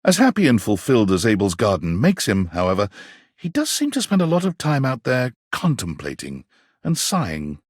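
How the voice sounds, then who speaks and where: Suave game storyteller, husky, theatrical, tenderly amused, very lively intonation, dry studio sound. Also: male voice, mid-range voice, normal speed, no room sound. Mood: tender, intimate. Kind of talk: scripted second-person game narration.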